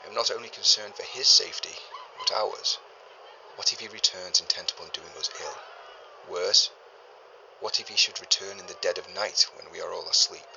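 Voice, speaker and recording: An actor playing a learned 1930s archaeologist, a man recording a formal, deliberate journal in grave and uneasy tones for an audio drama. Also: low voice, vocal fry, bright, forceful tone, slight background noise, heavily acted, rough recording. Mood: shaken, worried. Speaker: expedition leader keeping a recorded log. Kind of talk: scripted audio drama monologue, spoken journal.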